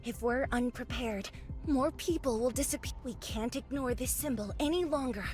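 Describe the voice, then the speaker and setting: A woman acting as an earnest young female hunter in a video game, high-voiced. She sounds alert and eager. Music plays clearly behind her. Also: moderate pace, darkish tone, natural voice. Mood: serious, resolute.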